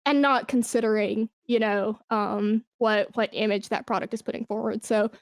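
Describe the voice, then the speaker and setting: A woman's voice: mid-range voice, steady tone, normal speed, dry recording, studio quality. A woman speaking as an interview guest in casual, informal conversation, telling things with slangy asides. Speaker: podcast guest, esports brand manager.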